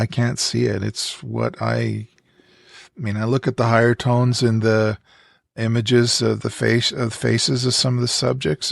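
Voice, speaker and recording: A man co-hosting a photography podcast, talking casually in halting, stop-start phrases. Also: mid-range voice, creaky, dry recording, clean studio sound. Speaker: podcast co-host. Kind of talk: casual chat.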